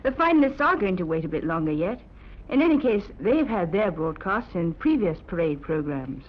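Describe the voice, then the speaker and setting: A woman's voice: lowish voice, big pitch swings, moderate pace, muffled recording. A young woman presenting a scripted 1950s youth radio show, on an old broadcast recording. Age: young adult.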